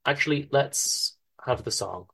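Man, storyteller, bright and forceful tone, dramatic reading, wry informal asides. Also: mid-range voice, a little slow, gravelly, slightly rough.

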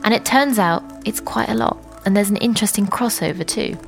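A woman's voice: mid-range voice, a little fast, vocal fry, soft background music. A woman hosting a journalistic podcast, reading conversational scripted narration.

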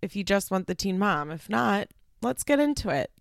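Stuffy-nosed woman doing a chill podcast, kinda gravelly, says like a lot, swears some. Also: medium pitch, big pitch swings, moderate pace, no room sound, clean studio sound. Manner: chatty, frequent "like" fillers, swears casually. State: stuffed up, congested. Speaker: podcast host. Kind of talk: casual podcast chat.

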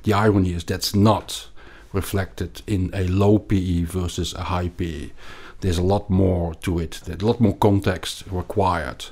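Finance guest: middle-aged Belgian man, accented, very deep voice, halting delivery. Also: steady tone, a little mellow. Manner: hesitant, many repeated words and restarts. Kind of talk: conversational interview.

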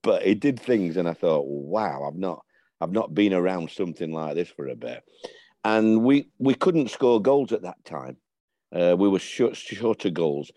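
An older man tells stories in a low, mellow voice, slipping into the voices of the people in them. The sound is dry and close, with almost no room around it. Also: no room sound. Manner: animated storyteller, acts out dialogue. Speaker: former football manager telling stories. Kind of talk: casual anecdotal chat.

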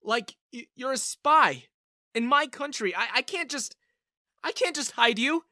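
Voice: mid-range voice, lively intonation, slow pace, bright, forceful tone, no echo, studio quality. A narrator voicing a flustered, timid, reluctant ordinary character who stammers and hesitates in storybook dialogue. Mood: panicked, reluctant. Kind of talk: audiobook-style character dialogue.

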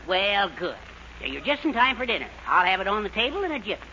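A muffled, very noisy old radio recording of a henpecked American husband in a sitcom. His high voice frets and blusters, fussy to the core. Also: big pitch swings, quick pace, sharp tone, heavily acted. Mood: blustering confidence. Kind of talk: 1940s radio sitcom acting.

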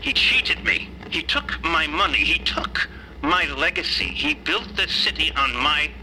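A man's voice: fairly high pitch, animated, unhurried, pushed and bright, slight background noise, pitched above the performer's own voice, full character voice, rough recording. A man voicing the bitter, grandiose ghost of a disgraced male scholar in a tabletop game. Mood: bitter, warning. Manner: grandiose, theatrical, indignant wording. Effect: voice through a ghost-box speaker.

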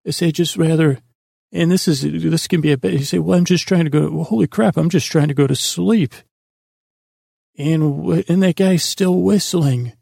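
A man with a creaky, gravelly voice hosting a sleep podcast in a meandering, halting, self-deprecating monologue full of tangents. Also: on the high side, dark tone, dry recording, studio quality. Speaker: podcast host.

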